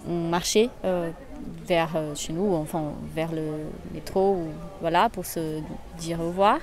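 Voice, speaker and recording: A woman's voice: fairly low pitch, fairly even intonation, a little slow, a crowd in the background. A woman from Brazil with a Brazilian accent, a Portuguese native speaker, telling a story and searching for words. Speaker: interviewee.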